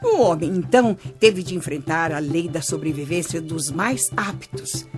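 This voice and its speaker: An actress playing a kindly older Brazilian grandmother who teaches through stories in a children's radio drama, measured and expressive. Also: sits low. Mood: patient, instructive. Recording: music bed under voice.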